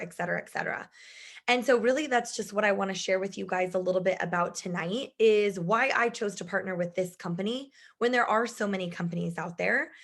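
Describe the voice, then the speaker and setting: Woman in her 30s, chatty video call pitch, bubbly, voice strained from shouting. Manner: bubbly, chatty, laughs often. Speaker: business presenter.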